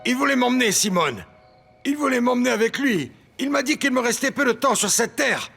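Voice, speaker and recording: Agitated older man, high and gravelly. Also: lively intonation, a little fast, a bit bright, full character voice. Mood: distressed. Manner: agitated, repetitive, imperious. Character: stubborn, frightened, domineering older man, easily enraged.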